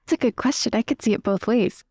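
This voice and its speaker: A woman's voice: a little high, quick pace, a little mellow, breathy edge, no echo, clean studio sound. A woman chatting casually as a player in a tabletop roleplaying game.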